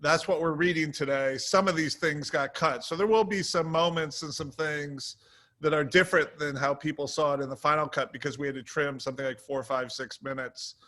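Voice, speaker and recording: A man's voice: on the high side, steady tone, fairly quick, sharp tone, no echo. A man answering interview questions casually as a show's co-creator, rambling and hesitant, with self-deprecating asides. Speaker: TV series co-creator, interview guest.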